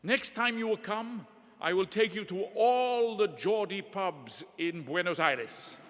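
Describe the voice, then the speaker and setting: A man's voice: sits high, slow, a bit bright, pitched above the performer's own voice, heavily acted. A man voicing a friendly young male Argentinian businessman with an eager, questioning manner, in a reverberant hall.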